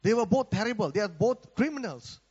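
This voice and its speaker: A man's voice: sits high, lively intonation, fairly quick, a little mellow, slightly rough, long reverb tail, muffled recording. A man from Malaysia with a Malaysian accent, preaching a sermon in a conversational way, often asking the congregation for an amen. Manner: conversational, repeats words, asks the congregation for amen.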